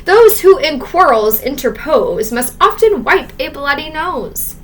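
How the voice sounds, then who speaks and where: A man's voice: very high voice, very animated, a little slow, a bit bright, a little hiss or hum, pitched above the performer's own voice, heavily acted. A woman voicing a clever, preachy man in a storybook who hands out proverbs in a pointed, sententious way. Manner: sententious, pointed advice-giving.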